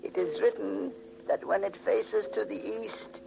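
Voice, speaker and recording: Old mystic servant, very slow, gravelly, formal, cryptic, quietly ominous, 1940s radio drama recording. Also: male voice, high voice, steady tone, highs cut off, noisy background, heavily acted. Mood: ominous, servile. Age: older. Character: bony old mysterious servant mystic, softly ominous and servile. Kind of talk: 1940s radio mystery acting.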